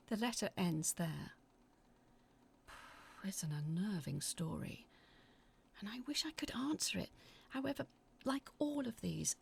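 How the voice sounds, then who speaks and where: A woman acting as an anxious narrator, telling a scripted first-person horror story in a confiding, uneasy, dramatic way with a husky, creaky voice. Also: a little high, heavily acted. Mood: reflective, concerned. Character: anxious woman reading out her frightened letters about sinister events.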